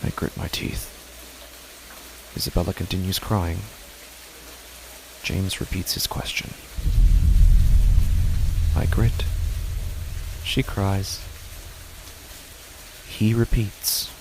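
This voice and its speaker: Low gravelly guy telling a horror story, measured and tense, faint noise. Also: fairly even intonation. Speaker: narrator. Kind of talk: first-person horror narration.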